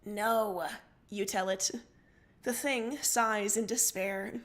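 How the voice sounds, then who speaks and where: A woman's voice: medium pitch, steady tone, slow pace, a bit bright. A woman narrating second-person fiction expressively as a livestream story reader.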